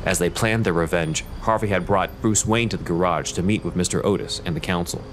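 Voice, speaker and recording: A man with a low voice narrates an audio drama in a scripted storytelling style. Music plays clearly underneath him. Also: brisk.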